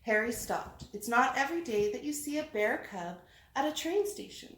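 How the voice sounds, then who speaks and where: A woman reading a children's storybook aloud with lively, dramatic expression. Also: sits high, normal speed. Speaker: read-aloud reader. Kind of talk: animated children's storybook narration. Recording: roomy echo, faint background noise.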